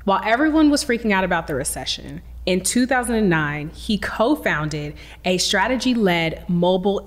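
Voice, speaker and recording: Low-voiced woman host chatting away excitedly, says like a lot, lots of reverb. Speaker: podcast host. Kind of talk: casual interview chat.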